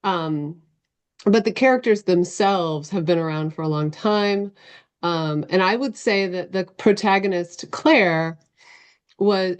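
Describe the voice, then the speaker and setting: Female author guest, middle-aged, slightly low voice, casual self-correcting conversational delivery over video call. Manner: informal, loosely structured, self-correcting. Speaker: interview guest, novelist. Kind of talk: casual interview answer. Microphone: video call microphone.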